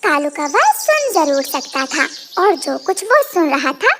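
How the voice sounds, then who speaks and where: A woman's voice: high voice, very animated, unhurried, noisy background. A woman giving scripted narration for a children's cartoon story.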